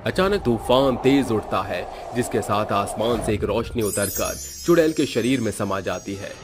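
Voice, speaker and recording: A man narrates a scripted tale dramatically. His voice sits on the low side, and music plays clearly behind him. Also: animated, normal speed.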